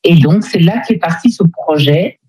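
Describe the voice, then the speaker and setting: A woman's voice: lowish voice, normal speed, warm tone, husky, no room sound. A woman speaking as a guest in a conversational radio interview, hesitantly searching for her words. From Democratic Republic of the Congo. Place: radio studio.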